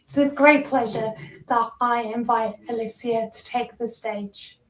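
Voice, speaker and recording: A woman's voice: on the high side, fairly even intonation, a little slow. Woman host, formal, echoey hall. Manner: polished, formal. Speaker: event host introducing a speaker. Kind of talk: formal introduction.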